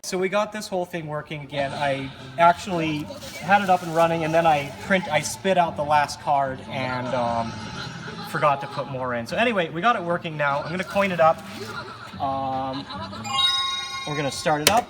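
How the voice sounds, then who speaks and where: A man's voice: on the high side, moderate pace, background noise. A man giving casual, unscripted hands-on commentary, rambling a little as he thinks aloud while presenting as a hobbyist. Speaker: arcade machine hobbyist presenter.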